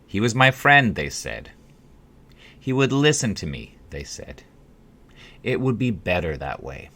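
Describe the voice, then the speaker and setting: A man reading his own story as an audiobook, in a wry, dryly humorous way with dramatic pauses. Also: lowish voice, sing-song, pushed and bright. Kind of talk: scripted audiobook narration of a memoir-like story.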